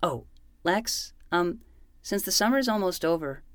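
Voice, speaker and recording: Woman playing an earnest, lively twelve-year-old boy detective. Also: on the low side, a little slow, no echo, clean studio sound. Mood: hesitant, earnest. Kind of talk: fiction podcast acting.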